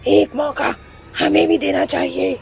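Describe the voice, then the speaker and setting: A woman voicing an anguished captive witch in a cartoon, pleading in a drawn-out, melodramatic way. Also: high-pitched, gravelly, darkish tone, faint music behind, heavily acted. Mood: weary defiance. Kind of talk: Hindi cartoon melodrama acting.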